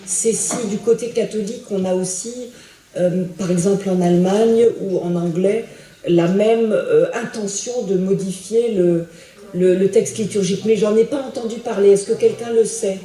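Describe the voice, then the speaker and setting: A woman's voice: medium pitch, fairly even intonation, moderate pace, dark tone. A middle-aged woman, a theology professor, answering audience questions thoughtfully with many restarts and hesitations. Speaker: theology professor giving a lecture. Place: attic lecture hall in a school. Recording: echoey hall from far off.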